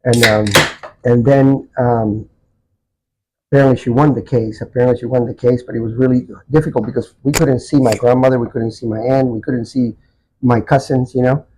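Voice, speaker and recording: A man in his sixties from Chile, a Spanish speaker, telling personal stories haltingly as he searches for words. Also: mid-range voice, fairly even intonation, noisy background. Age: 60s. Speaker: podcast guest. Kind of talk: personal storytelling in a casual interview.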